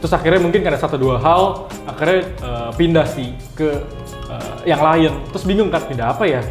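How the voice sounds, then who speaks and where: A man's voice: fairly high pitch, animated, a little fast. A man giving casual interview answers, informal and dropping in English words. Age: 20s. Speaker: guest, startup founder. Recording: roomy echo with music behind.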